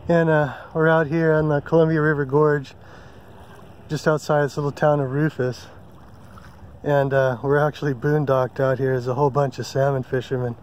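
A man's voice: on the high side, little pitch movement, normal speed, a little hiss or hum. A man casually vlogging outdoors at a riverside campsite, filming with a handheld phone and rambling with many ums and self-corrections. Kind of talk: casual how-to vlog. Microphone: handheld phone camera.

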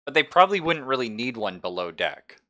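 Bright-voiced man running a tabletop game. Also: mid-range voice, big pitch swings, a little fast. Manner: informal, sweary. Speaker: game master. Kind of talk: casual narration of a tabletop roleplaying game. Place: online game session, streamed.

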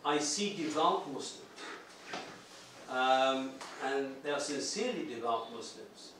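A man's voice: medium pitch, expressive, measured pace. A man from Iraq, answering as the speaker at a seminar. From Baghdad, Iraq. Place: large indoor meeting room. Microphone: far room camera. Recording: distant mic, very echoey room, faint noise.

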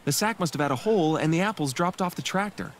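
Man as put-upon farm boy, teenage, friendly, fast-talking, video game dialogue, faint noise. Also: on the high side, lively intonation, natural voice. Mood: sheepish, wry.

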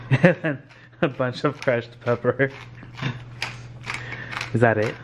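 A young man in his twenties talks casually to a camera he holds at arm's length, giving a home tour in an easy, chatty way. He laughs often and gets excitable, at a middle pitch, with faint room noise behind him. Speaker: vlogger. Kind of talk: casual vlog chat. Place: home kitchen and bedroom. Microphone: handheld camera at arm's length.

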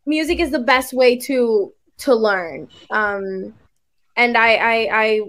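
Young woman English tutor, native Spanish speaker, high bright voice, relaxed unhurried pace, chatty and giggly over a video call. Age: young adult. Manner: bubbly, chatty, laughs often. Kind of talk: casual chat on a video call. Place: indoor room.

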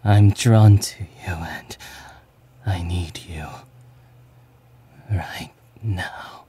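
A man voicing a brooding, conflicted young swordsman and tender lover in a romantic ASMR roleplay, halting and often whispering. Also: deep voice, warm tone, slight background noise. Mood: yearning.

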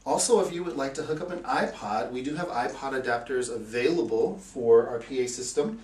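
A man's voice: medium pitch, brisk, darkish tone. A man in his forties giving a plain, methodical, hands-on equipment tutorial, explaining each step as an instructor. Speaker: instructor for an equipment rental company. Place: small indoor meeting room. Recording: roomy echo, distant mic, background hiss.

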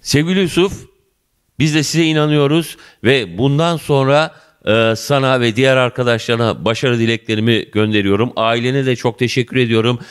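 A man's voice: mid-range voice, brisk, clear, resonant tone. Man in his fifties, local TV interviewer, effusive and flowery, handheld mic, school office. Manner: effusive, addresses viewers and guest as "dear".

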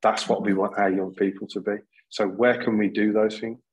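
A man's voice: on the low side, level tone, moderate pace, husky. A man in his thirties on a video call, speaking earnestly as a panel guest, often restarting and repeating words. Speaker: panel guest, school leader. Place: plain indoor room.

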